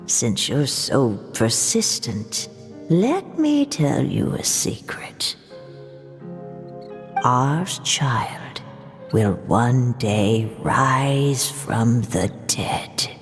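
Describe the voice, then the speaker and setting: A woman voicing a mysterious, secretive witch mother in an anime game, breathy, with an echoing memory effect. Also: rumbling low, big pitch swings, exaggerated voice. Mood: secretive, ominous. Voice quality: breathy, whispery. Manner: slow, secretive. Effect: echoing memory reverb. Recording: long reverb over music.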